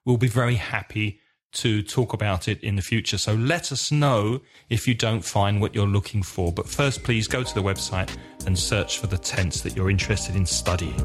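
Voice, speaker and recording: A man hosting an English lesson podcast, explaining carefully in a slow, clear teacher's manner. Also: on the low side, over music. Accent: British.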